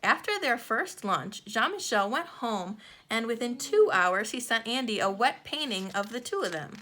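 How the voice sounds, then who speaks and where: Bright-voiced woman reading a children's storybook. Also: a little high, expressive, moderate pace. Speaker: children's book reader. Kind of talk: scripted storybook narration for children.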